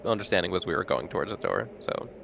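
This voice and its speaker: A fairly low-voiced man playing a tabletop game, deciding his moves out loud with hesitant pauses. A faint hiss sits under his voice. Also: lively intonation, gravelly. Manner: hesitant, thinking aloud. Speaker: player. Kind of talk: casual chat during a tabletop game.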